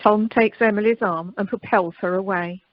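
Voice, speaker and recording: A woman's voice: medium pitch, fairly even intonation, normal speed, no room sound. Lady narrating an amateur radio play, lo-fi phone audio, no room sound. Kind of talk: scripted narration, reading stage directions. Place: recorded remotely by telephone during lockdown. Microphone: telephone. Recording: muffled telephone conference line.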